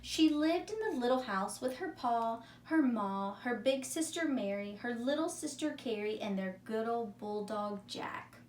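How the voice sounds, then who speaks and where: Female teacher for children's story time, fairly high voice, simple questioning delivery, recorded at a distance. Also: animated, a little slow, hardly any pauses, a little mellow. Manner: simple wording, addresses young listeners with questions. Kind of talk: read-aloud story time for young children. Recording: echoey room, distant mic, faint noise.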